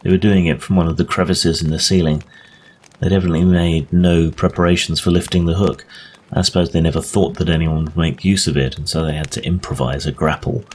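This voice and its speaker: A man reads the part of a genial gentleman ghost hunter with an extremely deep voice. He explains his case conversationally, in old-fashioned storybook phrasing, over clear recording noise. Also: fairly even intonation, fairly quick, natural voice. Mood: explaining.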